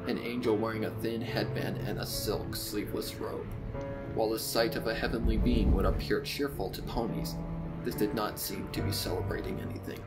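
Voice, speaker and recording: A man's voice: fairly low pitch, fairly even intonation, brisk, lightly acted, low-quality recording. A man playing a grieving, bitter widowed pony, narrating his story in a brooding, theatrical way. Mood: somber. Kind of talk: dramatic first-person story narration. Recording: music behind the voice. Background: prominent music.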